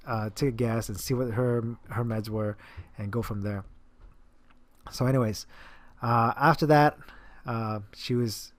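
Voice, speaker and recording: A man's voice: mid-range voice, no echo. A man, a personal trainer, talking casually to camera about a client, rambling with many fillers and restarts. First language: English.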